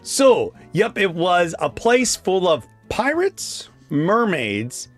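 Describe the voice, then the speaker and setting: A man's voice: high-pitched, very animated, takes it slowly. A Canadian man hosting a children's radio show, chatting casually and encouragingly and putting quiz questions to young listeners. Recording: music playing behind the voice.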